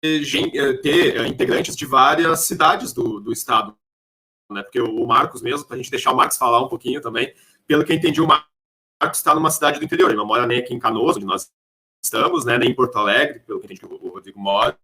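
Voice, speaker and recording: A man's voice: mid-range voice, quick pace, bright, forceful tone. A man hosting a casual podcast interview, talking in a rambling, circling way.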